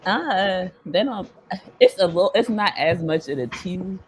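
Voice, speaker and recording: A man with a DMV accent, soft-spoken and searching for words in a casual chat. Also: sits high, expressive, measured pace, slight background noise. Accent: DMV (Washington, D.C. area). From DMV area (Washington, D.C. region).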